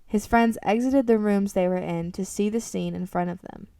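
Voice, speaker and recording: A woman's voice: medium pitch, moderate pace, gravelly, mellow, clear, resonant tone. A woman reading scripted story narration for an amateur radio drama, recorded in a room a little away from the microphone. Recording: roomy, slightly distant microphone.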